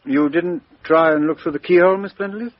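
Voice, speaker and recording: An actor playing a brisk, commanding police inspector in a 1950s radio drama. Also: male voice, high voice, steady tone, dry recording. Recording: dry, dull lo-fi old radio recording.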